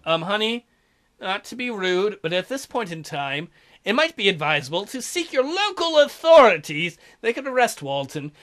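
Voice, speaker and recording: A male let's play host reading a visual novel aloud with joking asides. His voice sits high and sounds bright and sharp. He breaks off the text with goofy running commentary. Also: very animated, normal speed.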